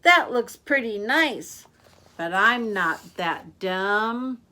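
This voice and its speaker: A woman voicing a cocky, eager little girl in a storybook, loud and emphatic. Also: sits high, lively intonation, slow pace, voice pushed up, exaggerated voice. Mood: excited, knowing.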